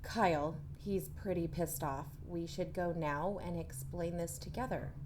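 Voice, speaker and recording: A woman's voice: fairly low pitch, a little slow, background noise, lightly acted. An actress playing a firm young woman, direct and insistent, in a naturalistic audio drama. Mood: firm, exasperated. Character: firm, exasperated young woman named Stevie. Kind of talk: naturalistic fiction podcast acting.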